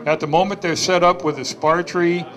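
A man's voice: sits high, steady tone, normal speed, fairly bright tone. A man making an informal, somewhat tentative announcement. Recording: crowded room chatter.

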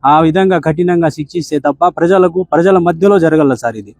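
A man's voice: fairly high pitch, fairly quick, vocal fry, a little mellow, no room sound. A man with a Rayalaseema Telugu accent giving a respectful, deferential account on the phone, often addressing the listener as sir. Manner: deferential, ends sentences with "sir". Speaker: bereaved father on a phone call.

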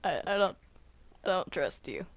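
A woman's voice: fairly low pitch, big pitch swings, normal speed. A woman playing a grumpy, defensive male cartoon villain in improvised game roleplay, stammering and snapping in denial. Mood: wary. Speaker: player roleplaying on a Minecraft server.

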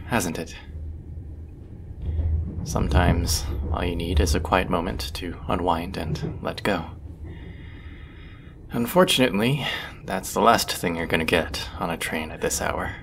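A man playing a gentle, charming stranger on a train in a soft ASMR hypnosis roleplay, soothing and often drifting into breathy whispers. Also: lively intonation, a little slow, pauses often, exaggerated voice. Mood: friendly, charming. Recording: loud train rumble behind the voice. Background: train ambience sound effects.

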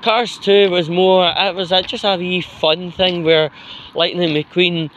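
A Scottish man rambling casually in a halting way, with long mid-sentence pauses, recording himself on a phone as he walks along a street. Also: high-pitched, level tone, a bit bright, lo-fi sound. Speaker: vlogger. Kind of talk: rambling casual walking monologue. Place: outdoors, walking along a street pavement. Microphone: handheld phone while walking. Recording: outdoor phone recording with traffic noise.

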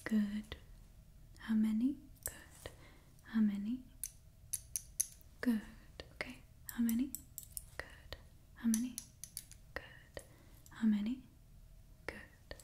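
A woman in her twenties whispering an ASMR roleplay close to the microphone, breathy and repeating her phrases. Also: a little high, fairly even intonation, slow, long pauses, warm tone, a little hiss or hum. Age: 20s. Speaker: ASMR performer playing a monster party door checker. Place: small indoor setup before a brick backdrop. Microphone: close microphone, face near camera.

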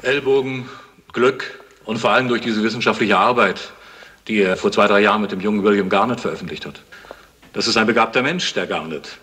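Forceful, frank medical man. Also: lowish voice, brisk. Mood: matter-of-fact. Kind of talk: 1970s German radio crime drama acting.

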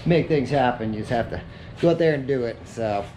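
A man's voice: mid-range voice, brisk. A middle-aged American man narrating a hands-on DIY job in a casual, conversational way. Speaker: DIY vlogger. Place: home garage and truck cab. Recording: action-camera audio with clear background noise.

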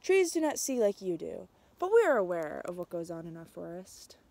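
A young adult woman reading a picture book aloud outdoors, with a measured, expressive storytelling delivery. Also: lowish voice, gravelly. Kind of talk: storybook read-aloud, narrated as a tree. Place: outdoors in snowy winter woods.

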